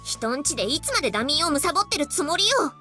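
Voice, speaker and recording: Scolding schoolgirl, bossy, exasperated, animated pitch, quick pace, Japanese visual novel. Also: soft background music, exaggerated voice. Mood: nagging. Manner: bossy, nagging. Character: exasperated scolding schoolgirl waking her sleepy friend.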